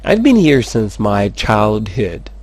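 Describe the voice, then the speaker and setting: A man teaching English, saying model sentences slowly and switching quickly into rapid explanations. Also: fairly low pitch, sing-song, husky, no echo. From Madagascar. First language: Malagasy. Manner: slow, careful model sentences between fast explanations. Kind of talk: language lesson, explaining in Malagasy and French.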